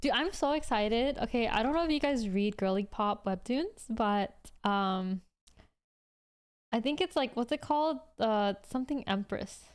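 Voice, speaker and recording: A woman in her twenties with a fairly high, very smooth and clean voice. She talks as a livestream host in loose, chatty rambles, answering viewers casually as she goes. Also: lively intonation, unhurried. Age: 20s.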